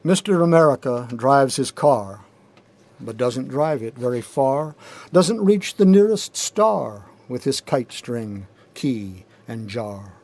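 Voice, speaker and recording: A man reading his own poetry aloud in a deliberate, rhythmic, dramatic spoken-word style, recorded in a studio. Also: mid-range voice, animated, a little mellow. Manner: deliberate, measured, rhythmic delivery with pauses. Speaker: poet reading own work.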